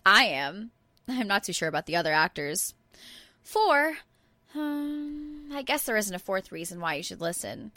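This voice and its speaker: A woman performs a self-adoring, flippant actress in a comic promo. Her voice is bright and fairly high, very animated, recorded dry. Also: measured pace, no room sound. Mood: smug, flippant. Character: vain, flippant female voice actor plugging a podcast.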